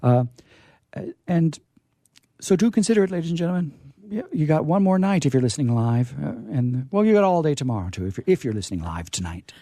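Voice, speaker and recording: A man hosting a casual on-air interview in a chatty, halting, wordy way, with playfully grandiose touches. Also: on the high side, big pitch swings, warm tone, dry recording, studio quality. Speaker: radio host. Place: radio studio.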